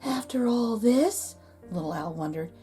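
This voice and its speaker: Woman reading aloud, lively pitch, slow pace, faint music underneath. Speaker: children's story reader. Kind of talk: picture book read-aloud.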